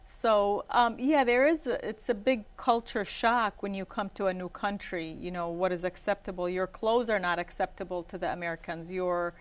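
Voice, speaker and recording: A woman's voice: mid-range voice, fairly even intonation, moderate pace, few pauses. A woman in her forties, born in Iraq and raised in Michigan, is a guest on an interview show. She talks casually and rambles, with frequent ums and you-knows.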